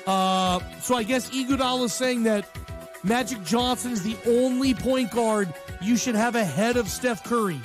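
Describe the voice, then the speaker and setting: High-pitched American sports radio guy. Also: normal speed, music in the background. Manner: conversational, opinionated, slangy, emphatic repetition. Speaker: sports talk radio host. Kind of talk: casual sports radio commentary. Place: radio show.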